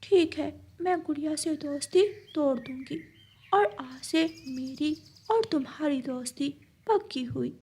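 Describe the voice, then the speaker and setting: A woman's voice: sits high, measured pace, darkish tone, a little hiss or hum. A woman voicing a naive, earnest young female goat in a cartoon story. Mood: trusting.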